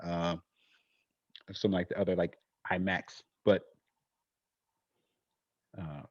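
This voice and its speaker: A man co-hosting a casual podcast chat, hesitant with many fillers and quick to laugh. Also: low voice, level tone, dry recording, highs cut off. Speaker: podcast co-host.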